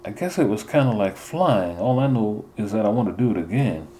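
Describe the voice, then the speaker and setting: A man's voice: lowish voice, expressive, brisk, dark tone, close to an everyday voice. A man voicing an eager, impatient teenage boy who is a runner, speaking with a pleading tone. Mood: wondering.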